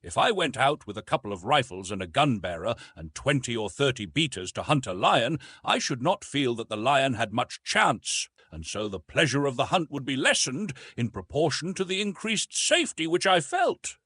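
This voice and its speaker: A fearless jungle-raised man among gentlemen voiced by a male audiobook narrator, dry and self-assured, choosing measured words. His voice has a husky grain and wide intonation, recorded close and dry. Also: medium pitch, sing-song, dry recording, voice pushed up. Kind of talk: audiobook character dialogue.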